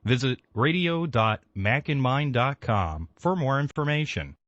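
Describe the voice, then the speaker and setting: A man's voice: medium pitch, lively intonation, measured pace, fairly bright tone, no room sound, lo-fi sound. A man with an American accent reading a scripted program introduction as a radio network announcer.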